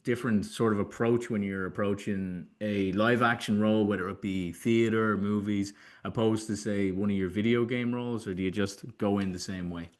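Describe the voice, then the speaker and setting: A man's voice: fairly low pitch, fairly even intonation, moderate pace, hardly any pauses. A man hosting an interview show, chatting casually in an effusive, informal way.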